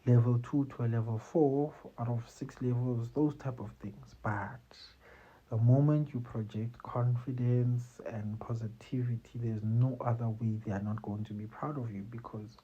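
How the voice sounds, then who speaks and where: A man's voice: mid-range voice, steady tone, moderate pace, warm tone, husky, dull audio. A man hosting casual career-advice talk, conversational and repetitive, often tagging his points with "you see" and "man". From South Africa. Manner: conversational, repeats phrases, tags lines with "you see" and "man". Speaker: host giving career advice.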